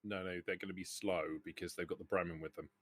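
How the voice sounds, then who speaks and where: A man's voice: low voice, steady tone, quick pace, a bit bright, slight background noise. A man acting as game master, explaining rules and options to players in a tabletop roleplaying game.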